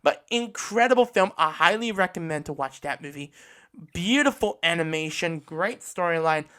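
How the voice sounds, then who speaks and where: A man's voice: a little high, animated, normal speed, fairly bright tone, smooth. A man from Australia hosting a podcast in a casual solo talk, earnest and enthusiastic, often repeating and rephrasing as he goes. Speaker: podcast host. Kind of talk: casual podcast monologue, reviewing anime.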